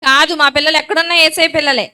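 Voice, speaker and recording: High, bright-voiced woman teaching children. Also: steady tone, very fast. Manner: firm, questioning, exhorting. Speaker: puppet show presenter addressing children. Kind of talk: animated children's church teaching.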